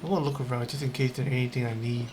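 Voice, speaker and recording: A male game streamer talks casually in a mid-pitched voice, thinking aloud in halting phrases. Clear background noise from the game sits behind his commentary. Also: fairly even intonation. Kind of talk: casual let's-play commentary. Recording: noisy mic, game audio behind.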